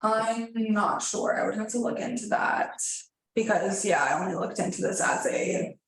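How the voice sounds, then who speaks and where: A woman staff planner presenting a formal report to a council, then answering questions in a measured, slightly tentative way. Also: medium pitch, vocal fry. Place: council chamber. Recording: very echoey council chamber.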